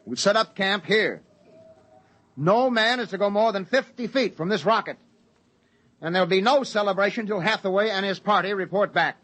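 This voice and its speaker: An actor playing a stern, cautious rocket captain in a vintage radio drama, speaking with curt command. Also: male voice, high-pitched, normal speed, a bit bright. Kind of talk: 1950s radio drama acting. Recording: vintage radio recording with faint noise.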